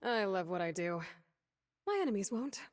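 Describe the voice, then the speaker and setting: A woman's voice: medium pitch, lively intonation, moderate pace, recorded from a distance, lightly acted. A woman voicing a confident, defiant young female assassin in terse, cocky video game lines. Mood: cool, menacing. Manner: terse, cocky one-liners.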